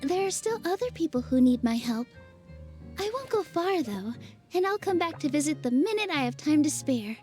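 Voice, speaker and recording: A woman playing a sweet, gentle and graceful storybook fairy in a video game. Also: sits high, sing-song, normal speed, faint music behind. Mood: gentle, reassuring. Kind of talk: animated game character acting.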